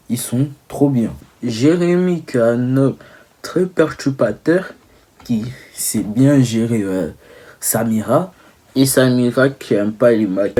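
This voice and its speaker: Young male vlogger, mid-pitched and mellow, casual teasing delivery with stumbles and self-corrections. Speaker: video creator. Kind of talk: casual humorous commentary.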